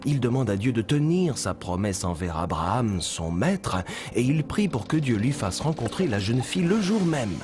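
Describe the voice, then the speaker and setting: A man playing a kindly storytelling mentor in a children's cartoon dub, with measured, instructive delivery. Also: medium pitch, lightly acted. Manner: measured, expressive, instructive narration. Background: music behind the voice.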